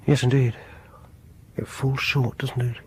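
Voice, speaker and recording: A man's voice: mid-range voice, little pitch movement, measured pace, darkish tone, husky. Fussy, polite British man in radio drama. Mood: polite, sympathetic. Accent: British English. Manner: polite, hesitant, fussily precise. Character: fussy, solicitous male host of music gatherings. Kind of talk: radio horror drama acting.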